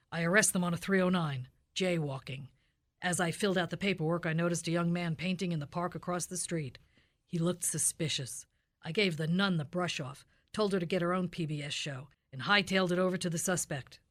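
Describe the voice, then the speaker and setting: Police radio spoof: hard-boiled detective narrator played by a woman, slightly low register, flat deadpan, clipped and businesslike. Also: brisk, close to an everyday voice. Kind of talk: parody of 1950s police radio drama.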